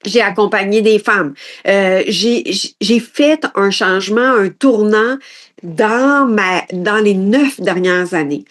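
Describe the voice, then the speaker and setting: A woman in her late forties speaks with a Quebec French accent in an ordinary mid-range pitch. She delivers a reflective podcast monologue as a personal development coach. Her manner is introspective and halting, with phrases begun again as she thinks. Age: late 40s.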